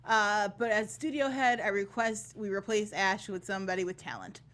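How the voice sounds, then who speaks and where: A woman's voice: medium pitch, normal speed, a bit bright. A woman chatting casually as a guest reviewer on a panel, emphatic and enthusiastic.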